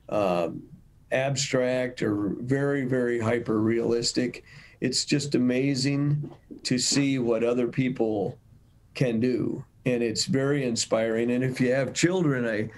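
An older American man speaks at a slow, unhurried pace with a mid-range pitch. He pauses to think as he goes, reflecting as an interview guest on a video call. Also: darkish tone, slight background noise. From United States, Michigan. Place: room at home, over video call. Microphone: video call mic.

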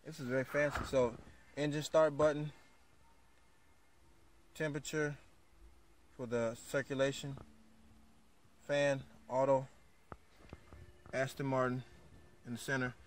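A man giving a casual walkaround of a car in a showroom, reading out the specs with plenty of fillers. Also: mid-range voice. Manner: flat, unhurried, reads specs aloud with fillers. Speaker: car vlogger.

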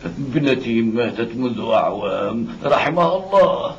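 An actor playing a humble, pious, blind old father in a dramatic cartoon dub, with groans and drawn-out exclamations. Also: medium pitch, expressive, noisy background, close to an everyday voice. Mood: sorrowful disbelief. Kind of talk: animated drama dub acting. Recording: dull lo-fi cartoon soundtrack.

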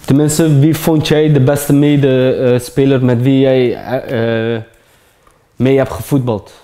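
A man's voice: medium pitch, little pitch movement, slow, mellow. A man in his thirties, a barber hosting an interview while cutting hair, talking laid-back and streetwise, often saying "man". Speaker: barber and host. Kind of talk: casual interview chat.

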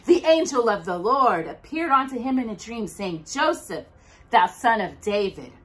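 A middle-aged woman hosting a children's storytime, reading aloud in an expressive, sing-song way to young listeners. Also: on the high side. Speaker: storytime host. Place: indoors at home by a brick fireplace.

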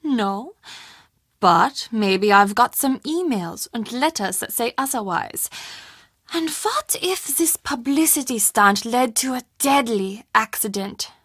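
Bright, dramatic castle woman. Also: a little high, lively intonation, slow pace, husky, dry recording, studio quality, exaggerated voice. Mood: scheming. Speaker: voice actor in a mystery adventure game. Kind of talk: scripted video game character dialogue.

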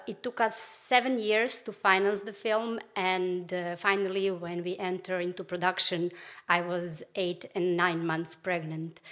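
A woman in her thirties with a Serbian accent, a film producer giving a prepared presentation at a stage microphone. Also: medium pitch. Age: 30s. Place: large indoor festival stage. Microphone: microphone on a stand.

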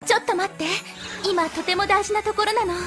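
A woman's voice: very high-pitched, fairly even intonation, fast talker, music in the background. A woman voicing a refined, theatrical, fashion-loving female unicorn pony in a cartoon dub, with gushing ladylike speech.

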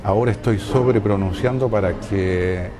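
An older man from Chile with a Chilean accent, answering interview questions in a hesitant way and often pausing to search for words. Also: on the low side, music in the background. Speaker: interviewee, filmmaker. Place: outdoor balcony above a city. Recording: outdoor city noise behind.

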